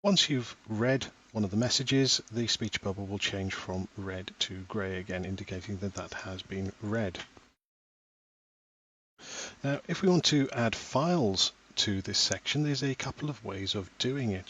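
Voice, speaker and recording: A man in his thirties from Wales talks through a screen tutorial with patient, step-by-step explanations. His voice sits fairly low and carries a slightly husky grain. The pace is unhurried, like a parent guiding other families. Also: fairly bright tone, rough recording. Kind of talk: screen-recorded software tutorial.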